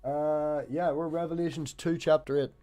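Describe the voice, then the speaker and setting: A man's voice: a little high, little pitch movement, moderate pace, warm tone, soft background music. A man hosting a casual discussion in a jokey, informal way. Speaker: discussion host.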